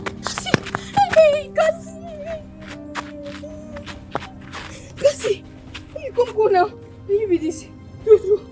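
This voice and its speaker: An actress playing a humble, protective elder sister in a film drama, with a Nigerian accent and Pidgin phrasing. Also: female voice, a little high, moderate pace, music in the background, close to an everyday voice. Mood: calling out.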